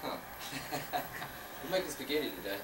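A young man helping in a home kitchen, making dry jokes in a slow drawl. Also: on the high side, lively intonation, reverberant, noisy background. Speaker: co-host helper.

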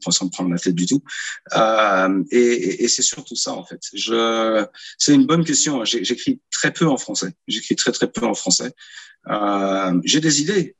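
Husky low-voiced man on a video call. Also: rough recording. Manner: relaxed, many hesitations and repeated words. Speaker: guest author. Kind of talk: casual interview answers. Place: small room indoors. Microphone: video call, earphones.

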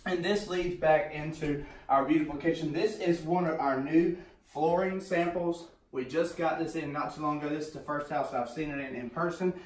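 A man's voice: on the high side, moderate pace, distant mic. A man, hosting a casual home tour vlog on a phone camera, chatty and upbeat. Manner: chatty, upbeat, self-mocking. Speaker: home sales host. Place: indoors in a show home, empty rooms. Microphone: phone camera, often at arm's length. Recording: echoey room, voice a bit off mic.

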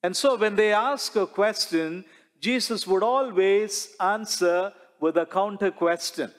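A middle-aged man delivers a sermon as a priest, speaking slowly and deliberately and leaning on rhetorical questions. His voice sits a little high for a man. A lapel mic catches him in a large sanctuary, and a long church reverb trails every phrase. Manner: slow, measured preaching with rhetorical questions and gestures.

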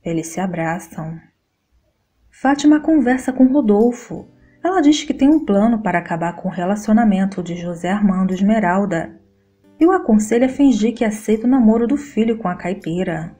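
A woman reading a scripted soap opera plot summary in a steady, even voice, with a Brazilian Portuguese accent. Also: mid-range voice, normal speed. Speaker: soap opera recap narrator. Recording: voice-over with faint guitar music behind.

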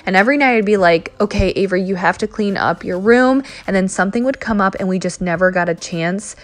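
A woman's voice: medium pitch, fast. A woman in her thirties chatting through a home cleaning vlog voice-over, talking straight to her viewers. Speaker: cleaning vlogger. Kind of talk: casual voice-over narration.

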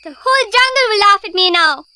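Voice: very high voice, lively intonation, fairly quick, sharp tone, heavily acted. An actor voicing a small, scolding, exasperated animal in a cartoon. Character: shrill, scolding, embarrassed small jungle animal. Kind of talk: cartoon voice acting.

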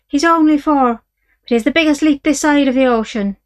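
A woman voicing a smooth-talking Irish male horse dealer with wheedling sales patter. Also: sits high, a little fast, pitched above the performer's own voice, lightly acted. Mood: coaxing.

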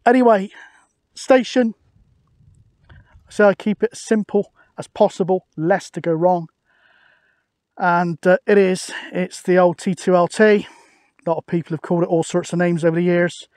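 A man's voice: high voice, fairly even intonation, moderate pace, pauses often, a little mellow, dry recording, clean studio sound. A British man chatting casually and matily outdoors, as a radio hobbyist making a video. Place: outdoors on an open hilltop.

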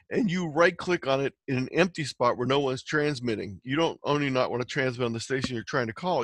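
A man's voice: medium pitch, fairly bright tone, no echo. A man giving an instructional slide presentation, explaining things step by step as a teacher would. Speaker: presenter teaching amateur radio software.

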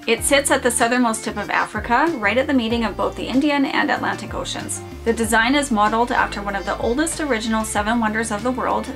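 Upbeat woman narrating over music. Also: a little high. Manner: upbeat, enthusiastic descriptive narration. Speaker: host of a lighthouse channel. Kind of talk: scripted narration. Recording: clear background music.

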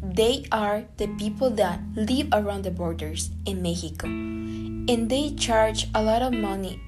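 Guatemalan-born student, reads evenly at a middle pitch over guitar music. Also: female voice, a little slow. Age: young adult. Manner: earnest, steady reading. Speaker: student podcaster. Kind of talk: scripted reading.

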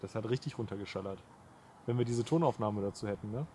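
A man's voice: on the low side, quick pace, warm tone. Young man chatting on a mountain hike. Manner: colloquial, slangy. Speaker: travel vlogger. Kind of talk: casual chat while hiking. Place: outdoors on a mountain trail. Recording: outdoor camera audio with ambient noise.

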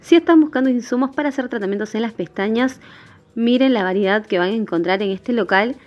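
A woman's voice: on the high side, fairly quick, darkish tone. A woman narrating a shopping vlog in voice-over. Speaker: vlogger. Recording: dull lo-fi voice-over with music. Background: background music.

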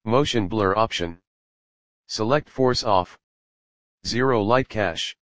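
Male tutorial narrator, low register, terse stepwise delivery, dry close voice-over. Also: slow, a bit bright, no room sound. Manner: short clipped instructions with simple non-native grammar.

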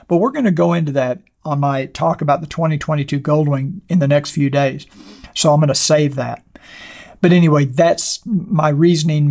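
An older man with a hoarse voice, hosting a motorcycle channel and answering viewer questions in a rambling, casual way, seated at a desk in a small studio with a clip-on mic. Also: a little high, darkish tone. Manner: rambling, conversational, many fillers and restarts. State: hoarse, losing his voice. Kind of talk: casual talk to camera answering viewer comments. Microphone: wireless clip-on mic.

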